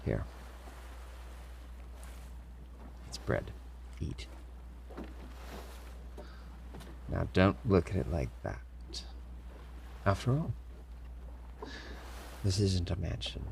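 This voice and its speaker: Guy acting as a deep slow pirate captain, stern n menacing but secretly caring, audio roleplay, noisy ambience behind. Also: very animated, creaky, a little mellow, full character voice. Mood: mocking, curious. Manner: slow, commanding, with sighs and feigned indifference. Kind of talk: audio roleplay acting, addressing a captive listener.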